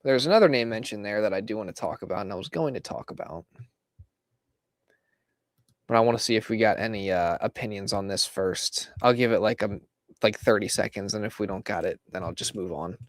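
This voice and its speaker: Hoarse chatty male sports-fan host. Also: lowish voice, brisk, no echo, studio quality. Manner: chatty, laughs between lines, reads out viewer comments. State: hoarse, losing his voice. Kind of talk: casual live-stream commentary. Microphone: desk microphone.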